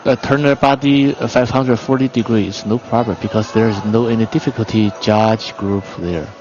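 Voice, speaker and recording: Halting male expert commentator, dull broadcast sound. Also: on the low side, steady tone. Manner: halting, explanatory non-native English. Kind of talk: technical martial arts commentary. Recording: muffled broadcast audio, music behind. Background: music in a venue.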